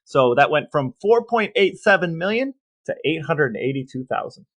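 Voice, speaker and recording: A man co-hosting a podcast, chatting casually and delivering playful facts and figures with a deadpan touch. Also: fairly high pitch, moderate pace. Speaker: podcast co-host. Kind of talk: casual chat with playful calculations.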